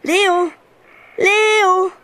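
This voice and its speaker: A woman's voice: very high voice, fairly even intonation, drawn-out words, bright, forceful tone, full character voice. A schoolgirl with a Swiss German accent playing a helpful, resolute elephant in a children's radio play. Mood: helpful, eager. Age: child or young teen pupil. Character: helpful, resolute young female elephant Molly.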